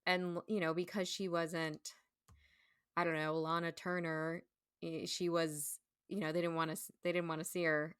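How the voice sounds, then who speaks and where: Lower-pitched woman in casual, dry-room conversation. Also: level tone, moderate pace, a bit bright, clean tone, no room sound. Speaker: guest, stage performer.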